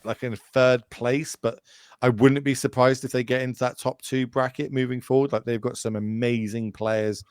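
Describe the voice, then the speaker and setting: Brief: man, late thirties, guest on a chatty podcast, rambly and tangent-prone, smooth resonant voice, dry close sound. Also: medium pitch, flat delivery, moderate pace, darkish tone, dry recording. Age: 30s. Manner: rambling, goes off on tangents, many fillers and restarts. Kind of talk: casual chat.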